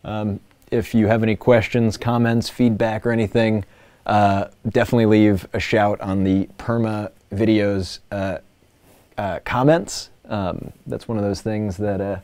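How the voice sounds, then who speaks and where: A man hosting a casual livestream, talking in a halting, informal and sincere way, as if thinking aloud. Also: on the low side, steady tone, a little mellow, no echo. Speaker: livestream host. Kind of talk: casual unscripted livestream chat.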